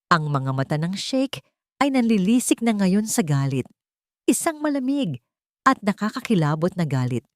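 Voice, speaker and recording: A Filipino woman tells a scripted dramatic story. Her voice sits fairly low and moves in lively, sweeping intonation. The sound is dry and close, without room reflections. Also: dry recording.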